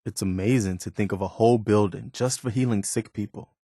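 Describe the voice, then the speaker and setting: Earnest, lowish-voiced wasteland militiaman. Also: a little fast, warm tone, no room sound, clean studio sound. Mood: wistful, hopeful. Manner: plain-spoken, wistful, wary. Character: earnest, hopeful militiaman companion in a post-apocalyptic wasteland. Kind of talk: video game companion dialogue.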